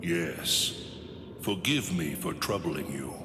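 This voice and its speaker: A low, slow voice for an ancient, devoted guardian spirit in an anime game. He is grave and gravelly, speaking in a long echoing reverb. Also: animated, over music, exaggerated voice. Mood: deferential. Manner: grave, solemn, measured. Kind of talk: anime video game voice acting. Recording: echoing reverb, ambient noise behind.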